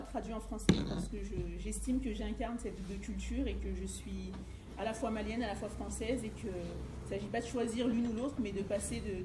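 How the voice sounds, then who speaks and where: A middle-aged woman from France gives a personal presentation at a seminar in a conversational, storytelling manner. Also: medium pitch. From France, Eure-et-Loir. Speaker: life coach and former youth educator. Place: indoor seminar room. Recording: roomy echo with faint background noise.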